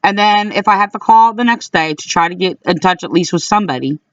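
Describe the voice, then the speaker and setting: A man speaking informally as an aggrieved caller, in a halting, repetitive way, recording complaints about calls. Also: sits high, animated, fairly bright tone, dry recording, recorded from a distance, lo-fi sound. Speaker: caller recording own phone calls to a police dispatcher. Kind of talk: informal complaint to camera and on phone.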